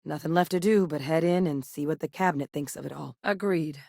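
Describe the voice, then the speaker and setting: A low-voiced, no-nonsense scientist-officer in a game, matter-of-fact, dry studio read. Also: female voice, gravelly, a little mellow, dry recording. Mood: steady. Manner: measured, clipped, matter-of-fact. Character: composed woman scientist with military past. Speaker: voice actress. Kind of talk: video game voice lines.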